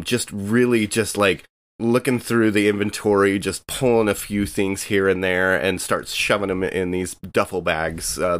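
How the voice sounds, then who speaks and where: A man's voice: low-pitched, fairly bright tone. A man playing a tabletop role-playing game, describing his character's actions in a rambling, laid-back way. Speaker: player.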